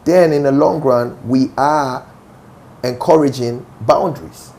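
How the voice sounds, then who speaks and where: Ghanaian male musician, young, gravelly, insistent interview talk. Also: mid-range voice, a little slow, darkish tone. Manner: assertive, with frequent "do you understand?" asides. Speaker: musician guest. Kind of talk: interview answers.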